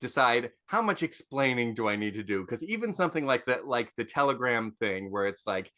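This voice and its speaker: Guy on a podcast panel, bright middle-pitched voice, just chatting. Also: very animated, fairly quick. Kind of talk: casual review chat.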